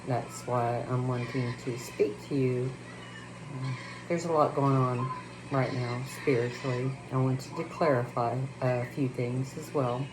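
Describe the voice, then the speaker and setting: A woman with a Texas accent speaking slowly and haltingly, with many fillers, first chatting about her channel and then reciting a prayer. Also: a bass voice, fairly even intonation. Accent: Texan. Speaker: spiritual channel host. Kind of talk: casual spiritual talk and spoken prayer. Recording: noticeable background noise.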